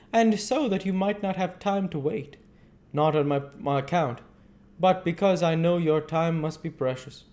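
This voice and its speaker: A prim young lady from a classic novel, as a man reading aloud puts her on. The pitch is lifted high and projected, and the manner is polite, proper and keen to oblige. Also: a little mellow, lightly acted. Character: demure, polite young married lady, eager to help.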